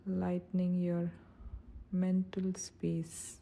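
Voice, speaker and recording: Very mellow, slow-speaking woman guiding meditation. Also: lowish voice, monotone, creaky, a little hiss or hum. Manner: soothing, gentle, unhurried. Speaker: meditation guide. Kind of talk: guided meditation narration.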